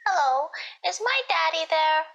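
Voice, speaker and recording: A woman's voice: extremely high pitch, expressive, measured pace, gravelly, a bit bright, highs cut off, full character voice. A woman playing a precocious, cheeky little girl in 1940s radio comedy style. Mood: earnest, polite.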